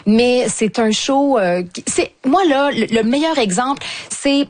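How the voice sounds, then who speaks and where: A woman's voice: a little high, unhurried. A woman with a strong Québécois accent, a stage performer talking as a guest in a casual interview, often restarting and stumbling through fillers. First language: French. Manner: hesitant, many restarts and fillers, animated.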